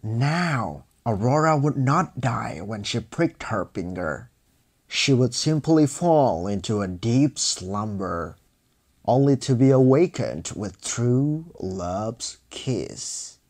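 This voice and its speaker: A man's voice: mid-range voice, animated, takes it slowly, darkish tone. A man narrating a scripted fairy tale for children as a storyteller.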